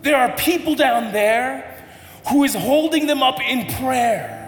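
A man's voice: extremely high pitch, measured pace. A man preaching a sermon as pastor, telling a story and then building to an impassioned appeal. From United States. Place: church hall. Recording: echoey hall with music.

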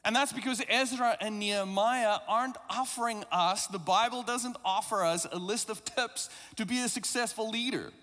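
South african guy preaching, pretty high voice, english is his second language, long echo like a big church hall. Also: normal speed, few pauses, pushed and bright. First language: not English. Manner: reflective, conversational preaching with occasional self-deprecating asides. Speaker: pastor. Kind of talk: sermon.

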